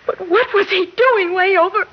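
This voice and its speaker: A woman's voice: very high voice, normal speed. A woman playing an anxious, guilt-ridden mother in an old radio drama, with a breathless, stammering, melodramatic delivery. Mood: distraught. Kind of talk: 1960s radio drama acting. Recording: dull, hissy old radio recording.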